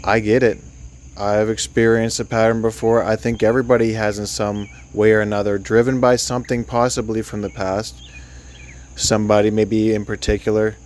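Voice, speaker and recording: A man leading a guided sleep meditation, speaking gently and soothingly in an unscripted, halting way with long pauses. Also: lowish voice, flat delivery, slight background noise.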